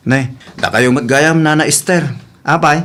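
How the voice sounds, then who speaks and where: A man's voice: fairly high pitch, measured pace, a bit bright. Male actor as fed-up husband, radio comedy drama, incredulous and complaining. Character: exasperated husband fed up with his spendthrift wife.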